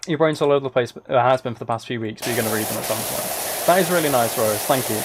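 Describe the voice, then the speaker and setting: A man with a mid-pitched voice talks casually on a livestream as he plays a game. He is soft-spoken and addresses his viewers directly. Clear background noise of game ambience and spraying sits behind him. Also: fast talker. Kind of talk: casual livestream chat while playing a game. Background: game ambience and water spray.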